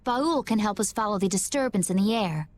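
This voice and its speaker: An actress playing a composed young warrior who speaks calmly and evenly. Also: female voice, medium pitch, slight background noise, lightly acted. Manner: calm, measured.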